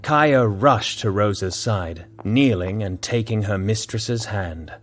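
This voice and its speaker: A man's voice: fairly low pitch, takes it slowly, fairly bright tone, faint music behind. A man narrating a scripted audio drama as a dramatic storyteller.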